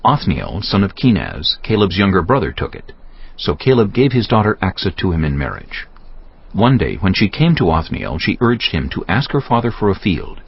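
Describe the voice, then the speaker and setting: Very deep male scripture narrator. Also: very animated, normal speed, creaky, slightly rough. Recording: dull lo-fi, music behind. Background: music.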